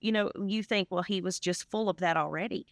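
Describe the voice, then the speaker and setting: Woman, interview guest, gravelly fry, very dry close sound, earnest, emphatic, casual testimony. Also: on the low side, a little fast, no room sound.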